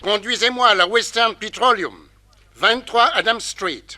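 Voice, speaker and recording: A man's voice: sits high, unhurried, background noise. An actor playing a jovial, blustering businessman in his sixties, with a hearty theatrical delivery in a 1960s French radio play. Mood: brisk, impatient. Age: older. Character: jovial, brisk 61-year-old businessman and fond father.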